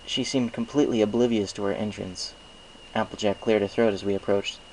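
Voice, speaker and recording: A man's voice: on the low side, fairly even intonation, fairly quick, darkish tone, slight background noise, rough recording. A man reading a scripted first-person story aloud as an amateur narrator.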